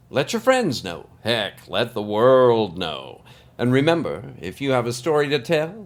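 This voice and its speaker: A man hosting a story podcast, addressing listeners in a playful, folksy scripted sign-off style. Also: on the high side. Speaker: podcast host.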